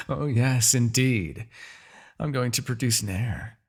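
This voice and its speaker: A man playing a proud, vengeful demon king in a dramatic fantasy audio roleplay, with a husky, gravelly voice and a theatrical, gloating manner. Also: medium pitch, slow. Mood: gloating, menacing. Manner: theatrical, gloating, with sighs and dark chuckles.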